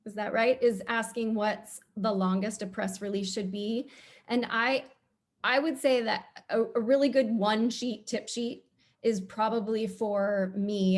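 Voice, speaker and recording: A middle-aged woman TV producer speaking casually as a panelist, with blunt opinions and animated, comic exaggeration. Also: medium pitch.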